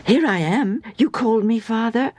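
Woman voicing an earnest, higher-pitched young boy. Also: expressive, moderate pace, darkish tone, dry recording. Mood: eager. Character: earnest, puzzled young boy, eager and reverent. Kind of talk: dramatised children's Bible storytelling.

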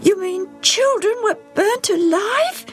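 A woman voicing an excitable young girl who squeals and shrieks in a very high voice. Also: slow pace, soft background music, heavily acted. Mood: horrified. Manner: squealing, shrill.

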